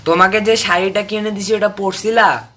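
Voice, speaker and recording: A man acting a bright-voiced clingy son. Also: high-pitched, fairly even intonation, moderate pace. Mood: possessive, admiring. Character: clingy, soft-spoken grown son on phone to mother. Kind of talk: staged phone-call drama, casual domestic chat.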